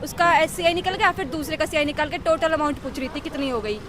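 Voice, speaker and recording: High-voiced young woman, noisy street interview. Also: fast. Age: young adult. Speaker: exam candidate being interviewed. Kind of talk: casual chat, recalling and explaining. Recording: noisy outdoor street with traffic.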